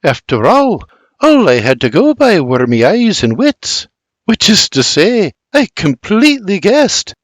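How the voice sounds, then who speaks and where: A kind, motherly farm wife with a raised, gentle voice that rises and falls a lot, as a man puts her on. She talks tenderly, like a mother in a spoken storybook, recorded close and dry. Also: on the high side, wildly swooping pitch, unhurried, dry recording, heavily acted.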